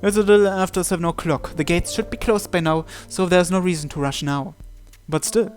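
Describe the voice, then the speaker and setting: A man with a somewhat high voice narrates a visual novel in the first person. He reads aloud as a let's player, with game music behind him. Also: steady tone, fairly quick.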